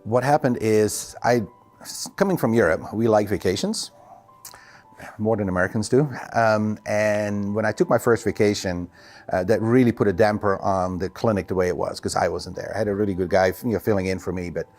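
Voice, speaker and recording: Low-voiced man with a Dutch accent. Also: fairly quick, darkish tone, soft background music. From Netherlands. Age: middle-aged. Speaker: physical therapist and clinic owner. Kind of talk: casual interview answers.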